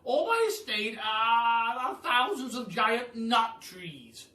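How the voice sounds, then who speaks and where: A man voicing a loud, pompous, generous aristocratic Duke from a storybook, grand and exclamatory. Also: very high voice, very animated, slow pace, pitched above the performer's own voice, full character voice. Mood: hearty, generous. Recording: roomy echo, far from mic.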